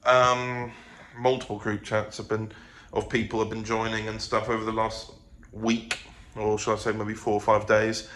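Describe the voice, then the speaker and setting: A man's voice: fairly low pitch, completely flat delivery, moderate pace, fairly bright tone. A man chatting casually in a vlog, rambling and hesitant as he goes. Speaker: vlogger. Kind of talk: casual chat to camera. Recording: clear background noise.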